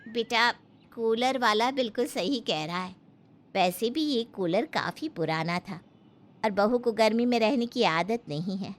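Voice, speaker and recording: A middle-aged mother-in-law of modest means , acted by a woman, in a family story. Her voice is high, and she talks in a gentle, practical, caring way. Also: measured pace, natural voice. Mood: practical, patient. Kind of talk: dramatised family story acting.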